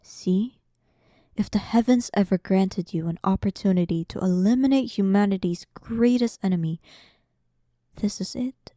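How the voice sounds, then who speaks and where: A woman playing a powerful demon queen in an audio roleplay, dominant, sarcastic and playfully condescending, often dropping into breathy half-whispers. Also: mid-range voice, normal speed, mellow, dry recording, studio quality, natural voice. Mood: menacing. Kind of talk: scripted audio roleplay addressed to the listener.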